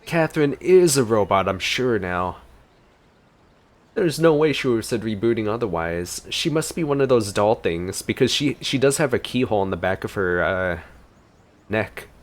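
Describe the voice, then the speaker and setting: A man's voice: lowish voice, expressive, normal speed. A man giving informal gameplay reaction commentary, musing aloud as he plays, with game music behind him. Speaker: game streamer.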